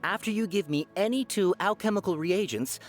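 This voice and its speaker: A man's voice: sits high, brisk, soft background music, close to an everyday voice. A man voicing an eager, affable, slightly bumbling fortune-teller at a market stall in a video game. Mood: salesman-like. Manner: salesman-like, theatrical, hesitant when unsure. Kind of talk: video game character acting.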